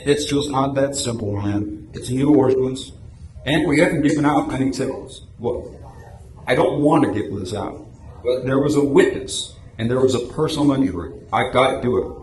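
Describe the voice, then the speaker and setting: A man's voice: mid-range voice, moderate pace, darkish tone, natural voice. A man acting a patient, apologetic but unbending police patrolman in an amateur radio drama reading. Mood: firm, apologetic. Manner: patient, matter-of-fact explaining, apologetic. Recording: echoey room, clear background noise.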